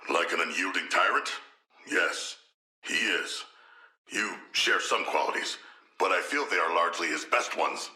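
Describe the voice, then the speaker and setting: A huge humanoid shark from a video game, with a low, bright-edged, forceful voice. He is earnest and literal, and phrases everything with formal, old-fashioned grandeur. The sound is slightly roomy. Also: full character voice. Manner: formal, grandiose, archaic diction. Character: hulking humanoid shark warrior, earnest, literal-minded, eloquent. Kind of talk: video game character dialogue.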